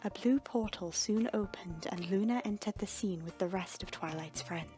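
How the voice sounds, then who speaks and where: A woman's voice: mid-range voice, moderate pace, warm tone. A woman reading fan fiction aloud as a scripted, dramatic story narrator. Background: background music.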